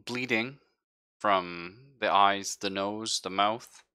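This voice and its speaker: A guarded ship android from a sci-fi tabletop game, voiced by a man, speaks in formal, halting reports. The voice is low and bright, with a slow, clinical delivery. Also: smooth. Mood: grave, clinical.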